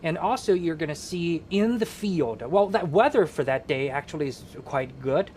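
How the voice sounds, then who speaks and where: A man's voice: a little high, animated, moderate pace, a little mellow, slight background noise. A man appearing as a guest on a live talk show, explaining sports at length with many hesitations. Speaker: guest skiing enthusiast.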